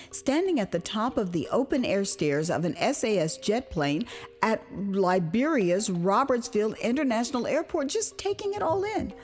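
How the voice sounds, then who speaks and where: A woman from the United States narrating her own memoir as an audio drama, with expressive, theatrical delivery, acted asides and dramatic pauses. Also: a little high. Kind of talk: dramatized first-person storytelling.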